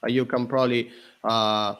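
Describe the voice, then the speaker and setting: A man co-hosting a casual developer livestream, explaining in a halting way with frequent restarts. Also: mid-range voice, flat delivery, fairly bright tone, clean tone. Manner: halting, restarts and repeats words often. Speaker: developer relations engineer, co-host.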